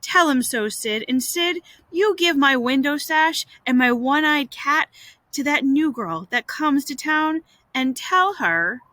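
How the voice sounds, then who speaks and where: A woman's voice: sits high, animated, pushed and bright, no echo, pitched above the performer's own voice, exaggerated voice. Woman playing a groaning, pitiful young boy. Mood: weakly dramatic. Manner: groaning, drawn-out, halting delivery. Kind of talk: storybook character voice.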